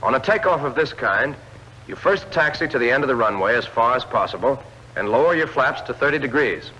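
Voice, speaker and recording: A man narrates an old military training film in a bright, forceful voice of medium pitch. He gives clear, direct instructions in a scripted 1940s instructional style. The sound is a dull, lo-fi vintage film soundtrack. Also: lively intonation, fairly quick, a little hiss or hum.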